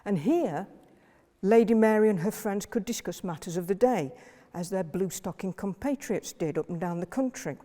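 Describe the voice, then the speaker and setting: A woman historian giving a public lecture in a measured, list-like way with occasional fillers. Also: mid-range voice, warm tone, in a large hall.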